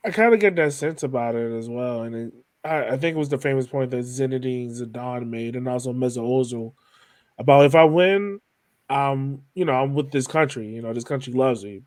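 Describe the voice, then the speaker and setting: A man with a mid-pitched voice hosts a casual interview, recorded very dry. He works his way tentatively into each question, rephrasing as he goes. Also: moderate pace, a little mellow, no echo. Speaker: podcast host, interviewer.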